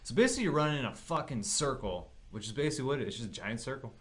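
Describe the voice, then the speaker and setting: A man's voice: medium pitch, animated, racing, fairly bright tone. A man co-hosting a gaming podcast, chatting casually with blunt opinions and plenty of swearing. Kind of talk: casual chat about video games.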